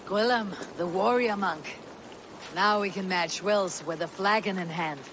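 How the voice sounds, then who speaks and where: A man's voice: sits high, a little slow. A man acting a bold, good-humoured Viking warrior in a dramatic game cutscene. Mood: hearty. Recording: clear outdoor ambience noise.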